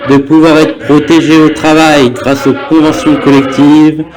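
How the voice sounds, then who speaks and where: A young man narrates a newsreel in a slow, formal reading. His voice sits a little high, and music plays clearly behind him as he goes through the script. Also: little pitch movement, low-quality recording.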